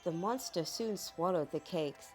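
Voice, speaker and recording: A woman narrating a children's folk tale from a script in a dramatic, expressive storytelling manner. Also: fairly low pitch, unhurried, faint music behind.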